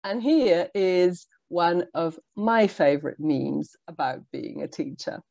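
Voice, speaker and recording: A woman whose first language is Polish, presenting a workshop for language teachers in a measured, explanatory way with frequent ums. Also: lowish voice, gravelly, a little mellow, no echo, dull audio. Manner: measured, explanatory, with pauses and fillers. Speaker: teacher trainer.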